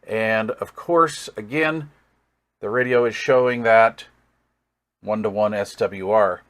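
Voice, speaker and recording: A man's voice: fairly low pitch, slow pace, a bit bright. A man giving a casual hands-on product demonstration as a hobbyist reviewer, thinking aloud with folksy, easygoing enthusiasm. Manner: thinks aloud while working.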